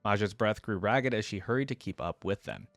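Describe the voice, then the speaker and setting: A man's voice: lowish voice, fairly quick, fairly bright tone, no echo. A man talking casually as a video creator, moving between chatty reaction commentary and reading a story aloud.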